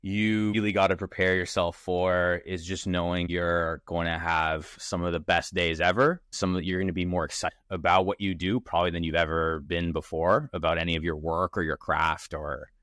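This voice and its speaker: A man of thirty, a startup founder as podcast guest, talking in a casual, energetic way with many restarts and fillers. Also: low-pitched, normal speed, few pauses, smooth, no room sound, clean studio sound. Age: 30s. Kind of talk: casual interview conversation. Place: Toronto.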